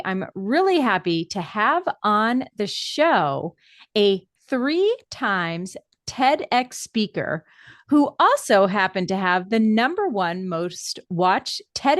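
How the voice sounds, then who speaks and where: A woman's voice: mid-range voice, very animated, takes it slowly, no room sound. Woman, podcast host, upbeat, welcoming, dry close sound. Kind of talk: interview hosting.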